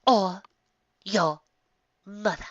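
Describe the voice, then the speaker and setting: Male reader as a ladylike storybook unicorn, affected, high-pitched, genteel, coy, dry slightly dull sound. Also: extremely slow, no echo, exaggerated voice. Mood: coy, taunting.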